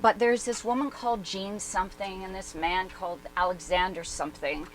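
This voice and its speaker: A woman playing a skeptical, hard-boiled former war correspondent, speaking in a candid, halting first-person monologue for a dramatic reading. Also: mid-range voice, fairly even intonation, lightly acted, low-quality recording. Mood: matter-of-fact.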